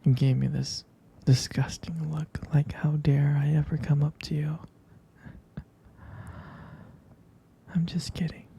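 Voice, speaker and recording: A man playing a tender, doting boyfriend in a whispered ASMR roleplay, husky and breathy, speaking gently and hesitantly close to the mic. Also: a little high, level tone, takes it slowly, long pauses, a little hiss or hum. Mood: shyly nostalgic. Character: tender doting young boyfriend soothing his sweetheart to sleep.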